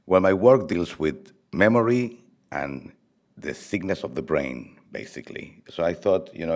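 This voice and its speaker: A man from Chile with a Chilean Spanish accent, a native Spanish speaker, talking reflectively as an artist about his own work, halting as he searches for words. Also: extremely low pitch. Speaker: visual artist.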